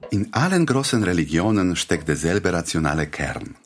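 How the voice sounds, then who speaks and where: Humble idealist doctor, very deep and husky, earnest and mild. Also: male voice, sing-song, moderate pace. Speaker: doctor character in radio play. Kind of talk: radio drama acting.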